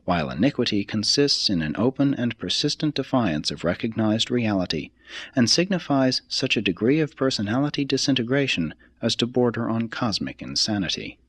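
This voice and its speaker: A man reads a religious text aloud as scripted narration, in a husky voice with a measured, formal and deliberate delivery. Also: medium pitch, sing-song, fairly bright tone, no echo. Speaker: audiobook reader.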